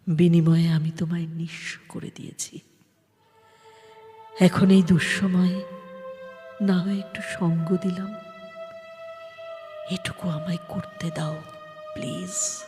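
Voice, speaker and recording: Woman acting a slow-spoken, proud, remorseful lady. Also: lowish voice, level tone, warm tone. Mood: tender, remorseful. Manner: theatrical, slow, heavily emotional delivery with breathy whispers. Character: proud rich woman, remorseful swindler in love, desperate. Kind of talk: Bengali audio drama acting. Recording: long hall reverb, faint music behind.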